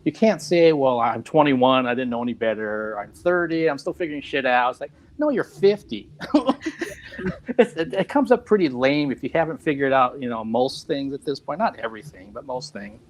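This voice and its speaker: Fifty-something guy on a video call, rambles and laughs at himself, average pitch. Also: expressive, normal speed. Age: 50s. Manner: rambling, self-deprecating, laughs often. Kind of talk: casual chat.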